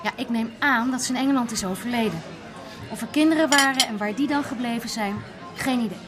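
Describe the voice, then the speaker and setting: A woman's voice: on the high side, fast, a loud crowd, close to an everyday voice. An actress playing an easygoing young woman in a naturalistic Dutch radio drama, speaking casually with small hesitations. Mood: matter-of-fact. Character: easygoing young woman, the man's supportive partner.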